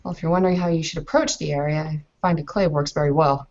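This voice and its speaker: A woman's voice: sits low, fairly even intonation, quick pace, darkish tone, dull audio. A woman playing an earnest young Bavarian bride in a tabletop game, formal, inquisitive and direct. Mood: composed, direct. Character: earnest, bold young Bavarian kinfolk bride, newly arrived.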